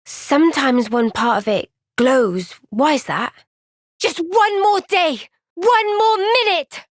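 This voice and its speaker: An actress playing a young soldier heroine in a video game, earnest, gentle and resolute, speaking with soft, measured sincerity. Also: female voice, high-pitched, dry recording, clean studio sound. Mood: urgent, emotional. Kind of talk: video game cutscene voice acting.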